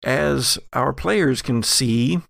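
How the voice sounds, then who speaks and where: Male horror game narrator. Dramatic, unhurried storyteller with a creaky edge, closely recorded. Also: medium pitch, a bit bright, no echo. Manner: theatrical, deliberate storytelling. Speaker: game master and host.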